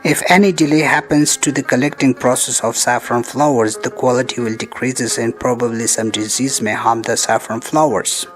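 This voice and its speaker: A man narrates an educational film in a mid-pitched voice. He reads a prepared script formally, laying out instructions one step at a time. Music plays faintly behind him. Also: fairly even intonation, normal speed, hardly any pauses. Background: background music.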